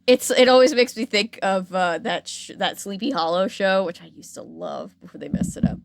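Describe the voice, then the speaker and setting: A woman's voice: mid-range voice, normal speed, gravelly, no room sound. A woman hosting a podcast, telling a story casually with wry, playful asides. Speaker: podcast host. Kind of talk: casual conversational storytelling with commentary.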